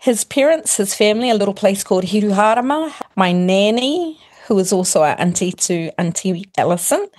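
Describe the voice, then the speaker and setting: A woman's voice: mid-range voice, expressive, fairly quick, a little mellow. A middle-aged woman from New Zealand with a New Zealand accent, a primary school teacher, explaining a word in a casual, teacherly way with examples. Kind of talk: casual explanatory chat, mixing Māori and English.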